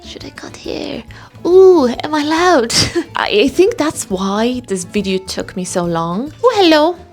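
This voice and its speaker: A woman's voice: high voice, sing-song, unhurried, faint music behind. Chatty self-mocking woman sewing vlogger. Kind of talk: casual chat to camera.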